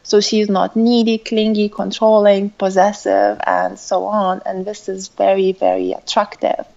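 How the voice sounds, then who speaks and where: Slow-talking Lithuanian-accented woman, muffled line. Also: mid-range voice, flat delivery, creaky, warm tone. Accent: mixed Lithuanian, with Irish and British influence. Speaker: guest, relationship coach. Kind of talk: conversational interview answers.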